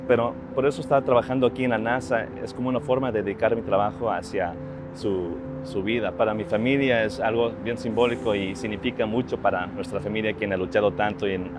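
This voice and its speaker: A man in his thirties, born in Ecuador, speaking earnestly about his work and family in a personal interview, often restarting his phrases. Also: fairly low pitch. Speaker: social media strategist. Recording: clear music bed behind voice. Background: music.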